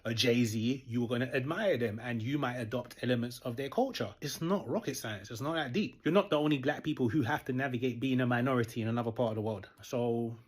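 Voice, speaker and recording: A young man, lecturing forcefully in a reaction video. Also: mid-range voice, quick pace, few pauses, slightly rough. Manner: forceful, lecturing, quoting others mockingly. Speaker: commentator. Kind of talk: direct-to-camera reaction video.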